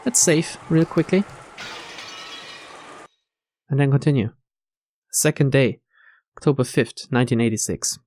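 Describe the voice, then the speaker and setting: A man's voice: medium pitch, unhurried, long pauses, darkish tone, no room sound. A man hosting a let's-play, reading game text aloud and commenting casually. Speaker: gameplay host.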